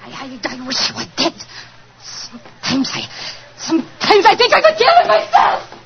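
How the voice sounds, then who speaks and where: An actress playing a young, jealous circus performer with a French accent, impassioned and melodramatic in a 1940s radio drama. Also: female voice, very high-pitched, wildly swooping pitch, normal speed, full character voice. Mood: jealous fury. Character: fiery, jealous young French tightrope walker. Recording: muffled old radio recording.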